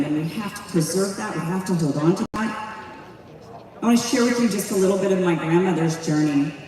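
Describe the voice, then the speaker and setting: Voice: mid-range voice, darkish tone. A speaker giving a rousing public speech at a community event, asking many rhetorical questions. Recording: echoey hall, voice from far off.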